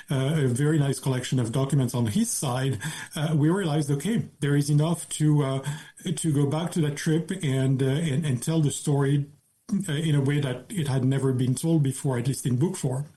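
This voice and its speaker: Man, podcast guest author, mellow warm tone, detailed storyteller, mid pitch. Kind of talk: long anecdotal answer in a podcast interview.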